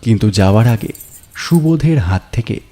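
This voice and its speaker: A man narrating a story in a measured, atmospheric, scripted style with dramatic pauses. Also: on the low side, lively intonation, warm tone, soft background music.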